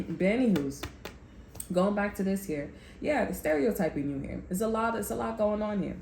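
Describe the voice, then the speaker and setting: A woman's voice: mid-range voice, lively intonation, moderate pace, a little mellow. A woman giving a casual tarot reading, rambling and blunt, who swears when she gets heated. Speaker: tarot reader. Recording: echoey room with faint background noise.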